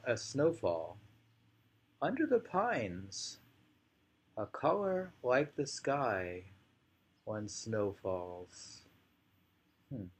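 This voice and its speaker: A man reading poems slowly and commenting on them casually, in an unhurried, musing way with drawn-out phrases and pauses. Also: on the low side. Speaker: poetry reader and commentator.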